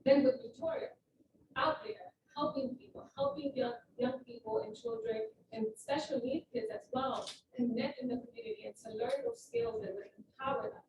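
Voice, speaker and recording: A woman's voice: sits high, big pitch swings, moderate pace. A woman moderating a live panel discussion at an event, talking in a conversational, emphatic way, recorded from a distance in a roomy hall. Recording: roomy, distant mic with room noise.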